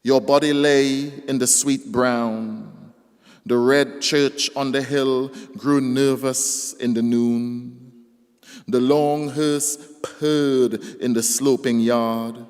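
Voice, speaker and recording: Slow poet in a reverberant hall. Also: male voice, medium pitch, fairly even intonation, darkish tone, clear, resonant tone. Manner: slow, deliberate recitation that rises in force on the poems. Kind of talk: live poetry reading with casual stage banter. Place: live event venue. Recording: long reverb of a live hall.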